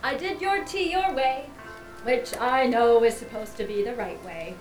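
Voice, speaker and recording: A woman's voice: a little high, unhurried, soft background music, close to an everyday voice, poor audio. A woman playing an arch, pointed middle-aged wife who coolly needles her friend in an audio drama. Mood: chatty, storytelling.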